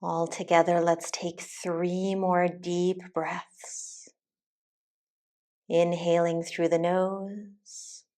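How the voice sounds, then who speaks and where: Woman, meditation teacher, slightly low pitch, very slow pace, soothing, deliberate pauses. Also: level tone, mellow. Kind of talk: guided meditation, soft instructional narration.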